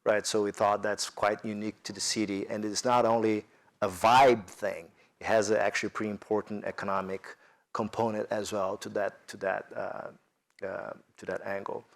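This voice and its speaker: A man with a Brazilian accent talks as a panelist at a business event, his voice sitting fairly low. He is lively and animated, often pausing on fillers and restarting his sentences as he works through his points. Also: gravelly. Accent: Brazilian Portuguese. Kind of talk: panel discussion.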